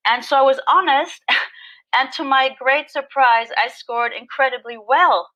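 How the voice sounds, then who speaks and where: A woman with a German accent, a non-native English speaker, telling her recovery story in an interview with a loud, animated, laughing manner. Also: high-pitched, a little slow, poor audio. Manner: animated, laughing, self-mocking. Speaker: guest sharing recovery story.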